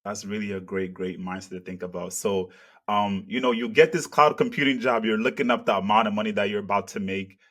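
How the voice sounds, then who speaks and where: A man's voice: on the low side, brisk, a bit bright. A man from Africa hosting an interview, asking questions earnestly with many "you know" and "kinda". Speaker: interviewer, channel host.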